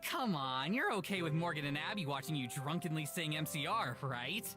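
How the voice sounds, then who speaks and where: Low voiced teasing party girl in a visual novel, really animated, music under it. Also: fairly quick. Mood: playful goading. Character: Tara, brash, teasing, party-loving young woman.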